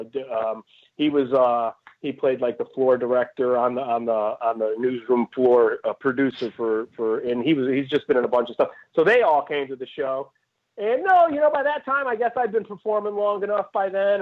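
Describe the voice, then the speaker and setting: Man on a tinny phone-like line. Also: fairly high pitch. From Cleveland, Ohio. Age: 40s. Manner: rambling, animated storyteller who jumps between asides. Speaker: stand-up comedian guest. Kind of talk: casual interview chat. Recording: tinny phone-like call audio.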